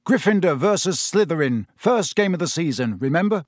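A man's voice: a little high, expressive, fairly quick, no echo, clean studio sound, pitched above the performer's own voice. A man voicing a cocky, contemptuous teenage schoolboy in an audiobook story.

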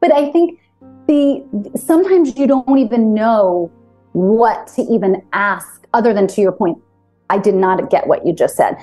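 A woman hosting a casual interview, talking animatedly with quick asides and frequent affirmations. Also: mid-range voice, animated, dark tone, faint music behind. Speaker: podcast host.

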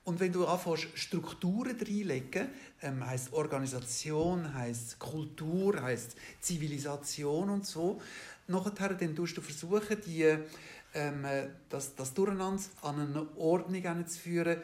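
A man with a Swiss German accent, answering an interview question at length. His voice sits a bit high for a man. He talks in a halting way, with lots of fillers and restarts, and keeps checking that his listeners follow. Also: a little mellow. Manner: ends points with a questioning "oder?". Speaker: interview guest. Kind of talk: explaining in an interview.

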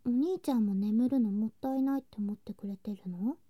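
Woman acting a soft high-voiced sleepy younger girl cousin. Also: a little fast, no room sound, lightly acted. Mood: drowsy coaxing. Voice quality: breathy, often whispering. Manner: soft, drowsy, coaxing, sweetly childlike. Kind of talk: ASMR situation voice drama, intimate bedtime roleplay.

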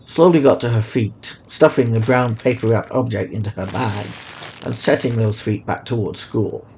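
A man's voice: fairly low pitch, darkish tone, slight background noise, rough recording. A man reading scripted fiction as a story narrator.

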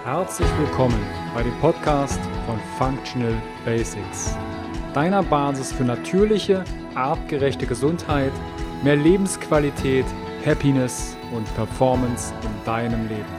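A man's voice: medium pitch, measured pace, over music. A man hosting a health podcast, reading an upbeat promotional intro and then interviewing a guest. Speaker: podcast host and health coach.

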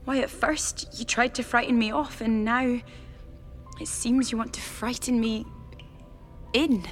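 A woman acting a scared, defiant young heroine in a gothic radio play. Her voice is very high and urgent, each phrase drawn out, with faint music beneath. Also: steady tone, unhurried, close to an everyday voice. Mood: demanding. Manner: urgent, exclamatory, insistent. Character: frightened, defiant young woman demanding answers in the dark. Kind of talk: gothic audio drama acting.

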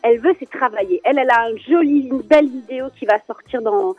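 A French woman with a French accent, a children's singer chatting as a radio guest, lively and quick to laugh. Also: fairly high pitch, no echo, phone-quality sound, soft background music. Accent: French with Alsatian touch. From France. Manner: animated, laughs often, many fillers. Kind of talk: casual radio interview chat.

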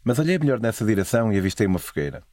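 A man's voice: fairly low pitch, very animated, racing, darkish tone, no room sound. A man with a European Portuguese accent narrating a scripted, literary first-person travel story. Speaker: narrator of own travel stories.

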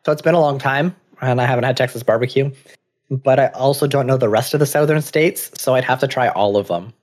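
A man with a middle-pitched voice co-hosting a stream and chatting easily. He fills his sentences with "like" as he talks. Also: brisk, a little mellow. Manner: chatty, many "like" fillers. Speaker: stream co-host. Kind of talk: casual chat.

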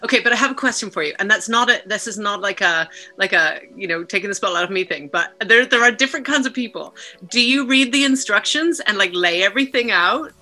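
A middle-aged woman from Canada chats as a podcast guest over a video call. Her voice is bright and forward, and she talks in a lively, animated way with faint music behind her. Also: mid-range voice, fast talker. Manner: lively, laughing, animated storyteller. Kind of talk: casual interview chat.

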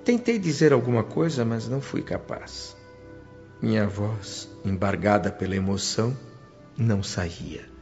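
Radionovela narrator: devoted, emotional son, lower Brazilian voice, gentle reflective delivery, lo-fi sound. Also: male voice, animated, a little slow. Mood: moved, reflective. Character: reverent, deeply moved son narrating his spirit memories. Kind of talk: radio soap opera first-person narration. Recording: dull lo-fi radio drama with music.

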